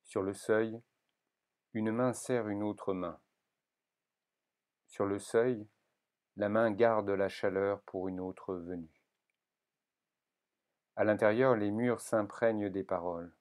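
A man reading poems aloud in a solemn, measured manner, leaving pauses between short phrases. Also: fairly low pitch, fairly even intonation, a little mellow. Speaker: poetry reader.

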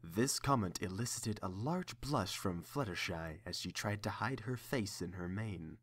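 A man with a fairly low voice serves as narrator. He reads scripted story narration, setting out the action between lines of dialogue. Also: expressive, a little slow.

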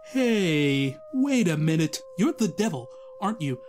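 A man's voice: a little high, big pitch swings, measured pace, darkish tone, soft background music. A man voicing a skinny, gloomy young cartoonist who narrates with sardonic, theatrical mock-grandeur. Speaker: narrator and lead.

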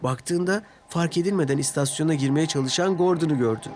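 A man's voice: fairly high pitch, a little fast, a little mellow, slight background noise. A man narrating a scripted children's story as a storyteller.

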